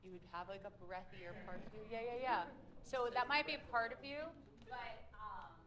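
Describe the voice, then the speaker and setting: A woman's voice: mid-range voice, moderate pace, a crowd in the background, poor audio. A woman leading a public speaking workshop, upbeat and chatty with plenty of fillers. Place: meetup room with a group.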